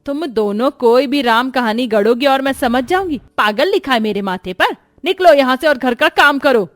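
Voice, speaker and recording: A woman's voice: high voice, lively intonation, moderate pace, no room sound. A woman voicing a stern older mother-in-law in a story, bossy, suspicious and scolding. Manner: scolding, commanding.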